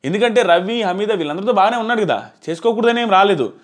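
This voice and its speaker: A high-voiced man in his thirties talks quickly in a casual review style. He leans on key phrases and repeats them for stress. He speaks into a clip-on mic in a small plain room. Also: expressive. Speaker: reality show reviewer. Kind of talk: casual spoken review to camera. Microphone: clip-on lapel mic.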